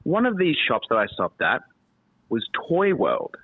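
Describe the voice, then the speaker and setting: A man's voice: mid-range voice, huge pitch swings, unhurried, breathy edge, no echo. Man on a tinny, dry line. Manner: storytelling with playful dramatic buildup. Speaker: show producer. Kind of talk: casual chat.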